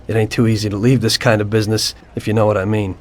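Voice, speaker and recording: A man playing a calm, unrepentant mobster. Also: on the low side, fast, natural voice. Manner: calm, matter-of-fact storytelling. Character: male mafioso turned informant, calm and unrepentant. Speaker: narrator of his past. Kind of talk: video game cutscene acting.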